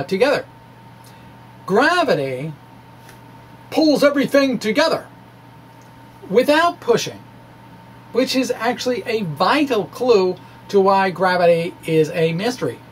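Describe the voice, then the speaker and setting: Wry, halting man with noise behind. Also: sits high, rough recording. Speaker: amateur physics presenter. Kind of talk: dramatic blog reading with informal asides.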